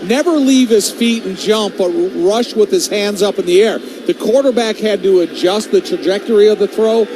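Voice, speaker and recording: High-voiced American color commentator, clear background noise. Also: male voice, normal speed. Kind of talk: live football analysis.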